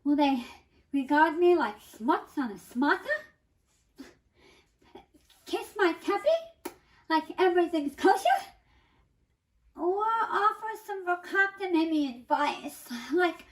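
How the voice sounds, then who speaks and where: Very high-pitched, animated woman performing a monologue. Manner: dramatic, deliberate, with emphatic pauses. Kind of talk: theatrical first-person comic monologue reading.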